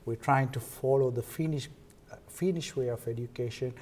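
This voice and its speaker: A man with a Sri Lankan accent answers as an interview guest. His tone is soft-edged and mellow at a middle pitch, and he pauses mid-sentence as he explains. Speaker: interview guest, foundation co-founder. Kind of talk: televised interview, explanatory talk.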